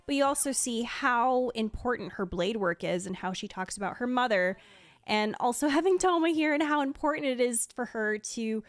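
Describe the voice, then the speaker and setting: A woman's voice: on the high side, moderate pace, dry recording. A woman speaks as a streamer doing casual fan commentary. Her delivery is gushing and enthusiastic, in a loose chatty style. The sound is dry and close, with very little room in it. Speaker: streamer and video creator.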